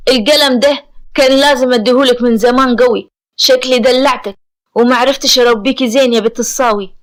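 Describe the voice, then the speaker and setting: A stern Egyptian mother, played by a female storyteller, scolds with sharp reproach. Her voice is high and upset, in dramatic audio story style. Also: fairly even intonation, fast, lightly acted. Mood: angry, stern.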